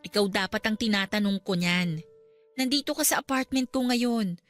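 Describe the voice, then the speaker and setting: A woman's voice: medium pitch, moderate pace, natural voice. A Filipino actress in a radio drama playing a caring, exasperated young girlfriend, nagging yet tender. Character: caring, exasperated young girlfriend looking after her man. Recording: music behind.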